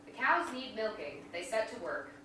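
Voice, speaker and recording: A woman's voice: a little high, big pitch swings, normal speed, sharp tone. A woman reading stage directions as scripted narration at a staged play reading. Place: indoor venue, staged reading. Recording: very echoey room from far off, noisy.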